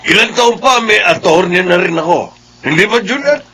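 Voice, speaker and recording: Radio drama father: Filipino, middle-aged, proud and jovial, higher-pitched, crowd behind. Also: lively intonation, normal speed. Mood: boastful, jovial.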